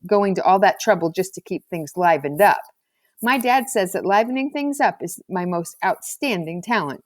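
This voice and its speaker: A woman's voice: mid-range voice, no echo. A brash schoolboy from a storybook, voiced by a woman, full of slang and bluster. He boasts and justifies himself at every turn. The sound is close and dry. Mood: indignant, self-justifying. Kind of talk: storybook character voice.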